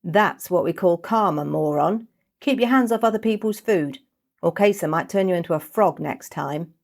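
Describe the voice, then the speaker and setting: A woman's voice: lowish voice, normal speed, gravelly, darkish tone. Woman as a blunt, sardonic young housemate. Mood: gleeful, mocking.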